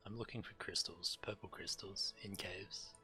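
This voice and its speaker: A male game streamer with a low, bright voice. He reads quest text aloud as narration and drops into casual commentary as he plays. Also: steady tone, moderate pace, faint music behind, rough recording.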